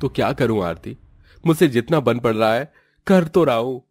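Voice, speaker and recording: A man's voice: medium pitch, lively intonation, normal speed, darkish tone. A man voicing a poor, hard-working, proud husband in a cartoon family drama, earnest and aggrieved. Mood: defeated.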